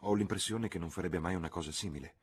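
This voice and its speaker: A man's voice: low-pitched, fast talker, husky. A man voicing a reserved, troubled young woman in an audiobook reading. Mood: subdued. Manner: grave, hesitant, guarded.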